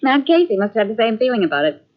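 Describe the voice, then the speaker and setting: A quick, sharp-tongued young woman, her voice high and very lively. The sound is dull and lo-fi, like an old radio tape. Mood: brisk. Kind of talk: 1940s radio acting.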